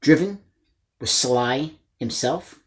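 A man in his forties talks to the camera as a channel host. His voice sits at an average pitch. He is enthusiastic and informal, presenting items in a casual, chatty way and addressing viewers as guys. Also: expressive, takes it slowly, a little hiss or hum. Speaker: host of a movie collecting channel. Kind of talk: casual chat showing a haul to camera. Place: small indoor room lined with disc shelves.

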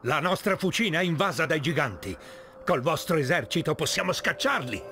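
Un attore che interpreta un fabbro nano della mitologia nordica, supplichevole, entusiasta e teatrale, in un filmato di videogioco. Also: male voice, fairly high pitch, lively intonation, brisk, a little hiss or hum. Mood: eager. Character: eager, pleading male dwarf smith of Norse myth. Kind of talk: video game cutscene acting. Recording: loud music under the voice.

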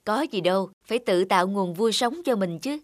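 A man's voice: high-pitched, expressive, moderate pace, no room sound, studio quality. A man playing a cheerful, generous young neighbour in a cartoon, upbeat and caring. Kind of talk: cartoon dialogue.

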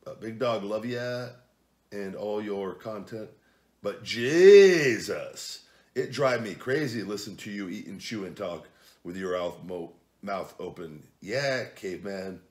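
A man in his forties chatting casually at home as a vlog host, reading viewer comments into a desk camera. He is blunt and profane, jumping from low mutters to loud outbursts. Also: lively intonation, takes it slowly. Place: home living room at night, seated at a table.

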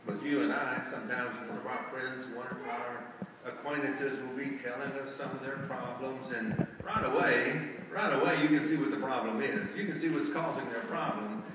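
A man's voice: mid-range voice, fairly even intonation, normal speed, noisy background. A man in his sixties preaching a folksy sermon from the pulpit, often asking the congregation rhetorical questions. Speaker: lay preacher. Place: large church sanctuary, indoors. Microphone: far camera at the back of the sanctuary. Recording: echoey church hall, distant camera.

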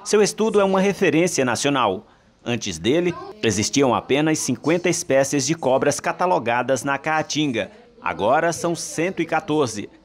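A man from Brazil with a Brazilian Portuguese accent, reading a scripted news report in a steady, formal way. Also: on the high side, moderate pace.

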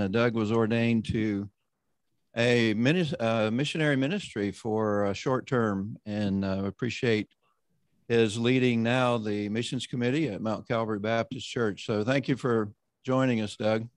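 A man hosting an online roundtable, introducing panelists and leading a prayer in a measured, conversational way. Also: mid-range voice, steady tone, dry recording. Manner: measured, conversational, often slowing down. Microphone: video call.

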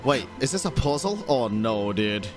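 A young man streams a game and reacts to it, swearing freely and talking straight to his chat. His voice swings up and down in pitch. Game music and sound play underneath him. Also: moderate pace. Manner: excitable, swears often, talks to chat. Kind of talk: casual game commentary.

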